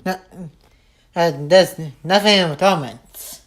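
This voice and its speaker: A man's voice: high voice, takes it slowly, fairly bright tone. A young man hosting a casual live stream with a friend, answering in short replies. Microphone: voice call.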